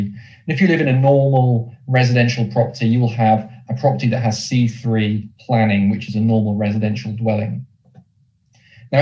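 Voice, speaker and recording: A man's voice: lowish voice, dark tone, echoey room, rough recording. A man with a Norfolk English accent giving an explanatory webinar presentation as a presenter. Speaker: presenter, mortgage lender representative.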